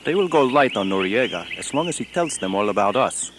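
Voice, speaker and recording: A man playing a brooding, deliberate Colombian cartel boss in a satirical radio play. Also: on the low side, expressive, background noise, close to an everyday voice. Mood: brooding, solemn.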